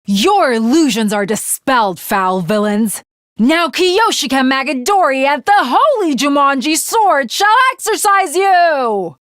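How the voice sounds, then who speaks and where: Anime game samurai girl, super high voice, slow grand old-timey talk, proud n melodramatic. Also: lively intonation, a bit bright, no room sound, studio quality. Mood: stern, resolute. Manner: formal, archaic, theatrical diction. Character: young female samurai, earnest, haughty, archaic and melodramatic. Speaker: video game voice actress. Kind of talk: dramatic anime game character acting.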